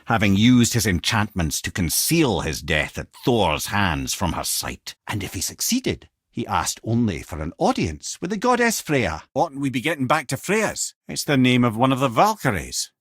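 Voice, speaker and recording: A man's voice: medium pitch, big pitch swings, normal speed, hardly any pauses, fairly bright tone, slightly rough, dry recording, clean studio sound. An actor voicing a witty, learned old male sage and storyteller in a video game, with a Scottish accent and a wry, erudite way of talking. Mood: grand storytelling.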